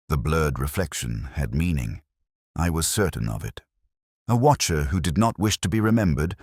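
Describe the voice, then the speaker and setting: A man voicing a reflective police inspector who narrates his case in the first person, measured and literary. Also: extremely low pitch, no room sound, studio quality, exaggerated voice. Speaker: detective narrator. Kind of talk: scripted first-person radio-drama narration.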